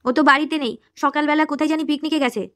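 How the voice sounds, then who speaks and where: A woman's voice: high-pitched, fairly even intonation, very fast, over loud music, close to an everyday voice. A woman voicing a defensive, exasperated village wife and mother in a comic cartoon, curt in manner.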